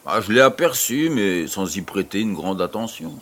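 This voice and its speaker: A man's voice: low-pitched, very animated, normal speed, a bit bright, heavily acted. A man playing a genial, curious aristocratic baron in an audio drama, urbane and a little condescending. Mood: teasing.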